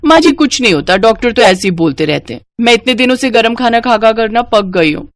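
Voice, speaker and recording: A woman's voice: on the high side, expressive, fairly quick, no room sound, natural voice. A woman voicing a stubborn young daughter-in-law in a moral story, insistent and dismissive. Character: young stubborn daughter-in-law who loves cold food. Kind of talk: acted dialogue in a moral story.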